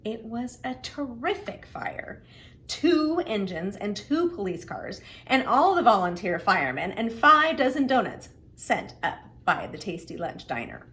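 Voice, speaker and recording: A middle-aged woman reading to children in an expressive, simple, explanatory way. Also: on the high side. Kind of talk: children's read-aloud with friendly introduction.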